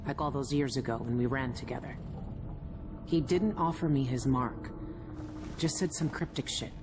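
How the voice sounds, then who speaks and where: Give this one to a hardened, determined female assassin in a video game. Her delivery is measured and terse, with a weary, guarded edge. Clear music plays behind her. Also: a bass voice. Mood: guarded, resolute. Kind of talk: video game cutscene acting and brooding narration.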